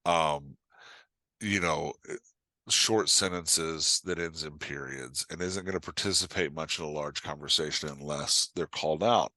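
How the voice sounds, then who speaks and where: Low-voiced man, bright and emphatic. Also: a little slow, no echo. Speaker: guest, writing coach and author. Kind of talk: casual interview explaining craft.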